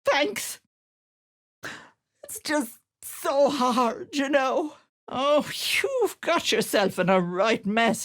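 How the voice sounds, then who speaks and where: An actress playing a sly old hag who poses as a sweet auntie in a video game, cooing and wheedling with menace underneath. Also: female voice, on the high side, exaggerated voice. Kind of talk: theatrical video game character acting.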